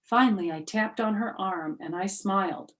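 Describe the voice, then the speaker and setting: A woman's voice: medium pitch, normal speed, fairly bright tone, slight background noise. A middle-aged or older woman reading a children's book aloud expressively, with chatty asides to young listeners. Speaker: storyteller.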